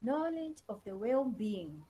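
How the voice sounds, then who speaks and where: A woman's voice: fairly high pitch, big pitch swings, unhurried, dark tone, slight background noise, low-quality recording. A woman lecturer teaching an online class over a laptop, repeating key phrases and questions to her students. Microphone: laptop on a video call.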